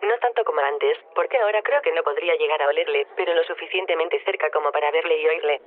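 Woman acting as female AI field reporter: fast, over-precise, matter-of-fact, heard through a narrow radio link. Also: low voice, lightly acted. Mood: literal, polite. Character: literal-minded, helpful female artificial intelligence field reporter.

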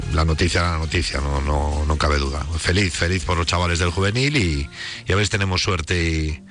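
A man from Spain co-hosting a radio motoring show, chatting warmly with many fillers and repeated words. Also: low-pitched. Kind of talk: radio talk show chat.

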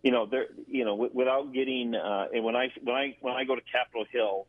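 A man speaking as an interview guest over a phone, explaining in a halting way and repeating words. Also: medium pitch, fairly even intonation, no echo. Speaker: guest, government affairs director. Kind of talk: explanatory radio interview talk. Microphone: phone call. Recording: narrow tinny telephone line.

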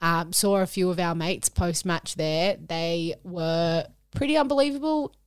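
A woman's voice: fairly low pitch, a little slow, smooth, no room sound, clean studio sound. Chatty woman podcast host. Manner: upbeat, chatty, sings parts of the intro. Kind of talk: casual podcast chat.

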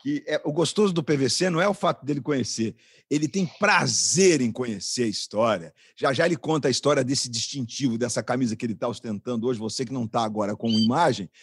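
A man's voice: medium pitch, fast, hardly any pauses, slightly rough, no echo, studio quality. A middle-aged man hosting a sports podcast in a jovial, joking way, greeting his guests by name. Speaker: podcast host.

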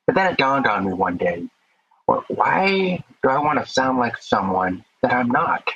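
A man's voice: mid-range voice, very animated, measured pace, a bit bright, muffled recording, a little hiss or hum. A man with a deaf accent, a podcast guest speaking earnestly about his life, sometimes repeating words as he starts. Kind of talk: casual interview talk.

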